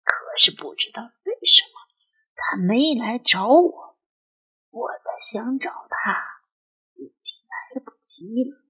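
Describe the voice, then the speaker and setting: A woman voicing an elderly grandmother in an audio drama, wistful and superstitious, reminiscing with drawn-out sighs in a husky voice. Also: a little high, sing-song, sharp tone, full character voice, poor audio. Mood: regretful. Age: older. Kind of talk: Chinese audio drama dialogue.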